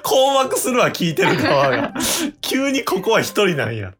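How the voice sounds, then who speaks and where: A man's voice: high voice, wildly swooping pitch, normal speed, over music. A man with a Kansai accent bantering casually and teasingly as co-host of an amateur radio drama.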